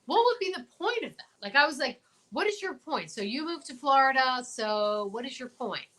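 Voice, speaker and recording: A woman's voice: high voice, expressive, moderate pace, bright, forceful tone. A woman talk show host chatting casually, emphatic and opinionated, often posing questions. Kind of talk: casual chat.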